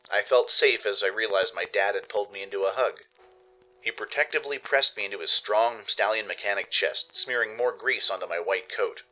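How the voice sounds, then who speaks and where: Bright, low-voiced man narrating dramatically. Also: a little fast, poor audio. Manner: theatrical, expressive. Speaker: narrator and radio play host.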